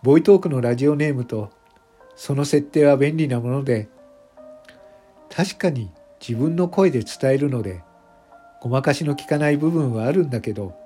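A man's voice: mid-range voice, dark tone, natural voice. A man playing a self-conscious factory worker turned popular voice streamer, narrating a confessional radio drama monologue. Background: background music.

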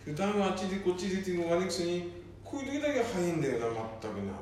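A man's voice: high-pitched, expressive, racing, a little mellow. A man playing an agreeable, mildly grumbling family man with a deferential manner in an amateur radio drama. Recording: distant mic, very echoey room, faint noise.

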